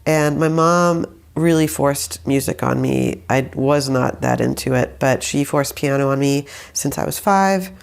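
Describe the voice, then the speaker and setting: Low, gravelly woman talking hesitantly. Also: unhurried, few pauses. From Saudi Arabia. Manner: rambling, hesitant, many fillers and restarts. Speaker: guest, musician. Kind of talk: casual interview conversation.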